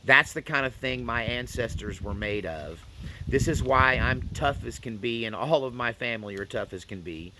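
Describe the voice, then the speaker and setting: A man's voice: fairly low pitch, pushed and bright. A man telling a family story in a casual, unscripted, folksy way, repeating phrases for emphasis. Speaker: storyteller and host. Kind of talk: casual first-person storytelling to camera.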